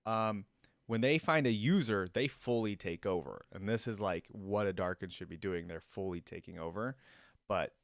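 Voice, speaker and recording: A man's voice: on the low side, normal speed, gravelly, dry recording. A man giving casual, chatty reaction commentary as an enthusiastic fan, in laid-back slangy wording. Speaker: host of a reaction video. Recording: music playing behind the voice. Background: game voice clips and music.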